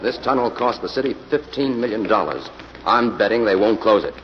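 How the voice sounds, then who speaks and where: A man's voice: on the low side, animated, fairly quick, close to an everyday voice. A man playing a composed, determined investigator in an old-time radio drama. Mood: focused. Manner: composed, probing, businesslike. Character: calm, determined male investigator working with police. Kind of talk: 1940s radio acting. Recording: muffled, noisy old radio recording.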